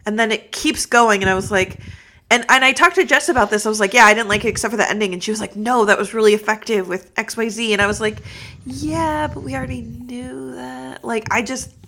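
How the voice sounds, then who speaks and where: Bright, animated woman co-host. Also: on the high side, a little fast. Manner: animated, acts out quoted dialogue. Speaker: podcast co-host. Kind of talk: casual chat.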